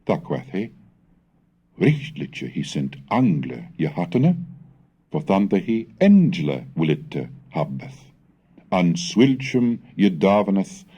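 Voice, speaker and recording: A man giving a formal, measured scripted reading, declamatory, in the style of an educational recitation of old texts. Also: low voice, sing-song, dark tone, slightly rough, muffled recording. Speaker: reader and narrator of a language history recording.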